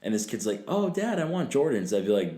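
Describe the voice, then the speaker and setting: A man's voice: mid-range voice, big pitch swings, fairly quick, warm tone. A man in his twenties talking casually as a podcast guest, loose and profane, with lots of fillers and laughter. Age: 20s. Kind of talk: casual chat. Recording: echoey room.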